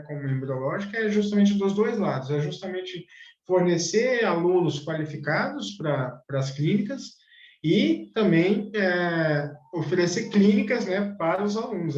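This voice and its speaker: Male co-host, slightly high voice, conversational, dull echoey audio. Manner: conversational, agreeing and adding examples. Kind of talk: live online class discussion.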